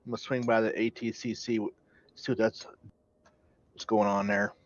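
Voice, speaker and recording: A man's voice: fairly low pitch, little pitch movement, normal speed, no room sound. A man speaking casually in character as a player in an online roleplaying game. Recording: dull, dry online voice chat.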